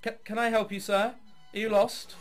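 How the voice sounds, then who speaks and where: A man's voice: high-pitched, little pitch movement, normal speed, soft background music, voice pushed up, natural voice. A man voicing frightened orchestra musicians from a visual novel, stammering and frantic. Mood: timid, frightened. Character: panicked, timid orchestra musicians under threat.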